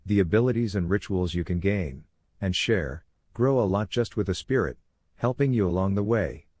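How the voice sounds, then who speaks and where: A man voicing a calm, authoritative werewolf pack leader who lectures in a measured, instructive way for a fantasy audiobook. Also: low voice, dry recording, pitched below the performer's own voice. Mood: patient authoritative.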